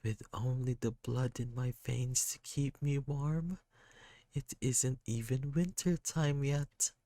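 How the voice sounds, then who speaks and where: Man voicing a sad, quick-tempered kid. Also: medium pitch, expressive, a little mellow, dry recording, studio quality, pitched above the performer's own voice. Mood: bleak. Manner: raised, strained and tearful.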